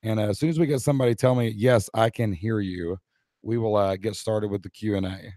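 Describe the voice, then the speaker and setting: A middle-aged man, hoarse-voiced, co-hosting a live online Q&A and talking straight to the chat audience in an upbeat way. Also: on the low side, steady tone, fairly quick, mellow, no echo, clean studio sound.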